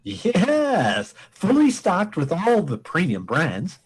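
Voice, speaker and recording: A man performs a pompous space admiral in radio comedy. The delivery is grave and melodramatic, with big sweeps in pitch. Every phrase is formal and theatrical. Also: wildly swooping pitch, takes it slowly, breathy edge, heavily acted. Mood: formal, cordial. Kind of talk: comic radio play acting.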